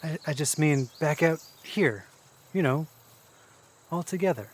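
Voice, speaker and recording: Actor as troubled brother, higher-voiced man, halting. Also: expressive, a little hiss or hum. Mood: wistful. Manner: halting, repeats words, swears. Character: troubled, warm-hearted brother, adult man, shaken and disbelieving. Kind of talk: naturalistic audio drama dialogue.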